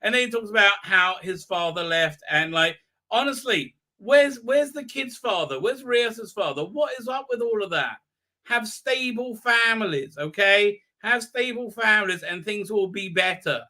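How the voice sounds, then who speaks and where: Guy doing a livestream recap, high piercing voice, rambles and repeats himself, sarcastic and fed up. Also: normal speed. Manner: exasperated, animated outbursts. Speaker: host of a fan review livestream. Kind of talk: casual mocking episode recap.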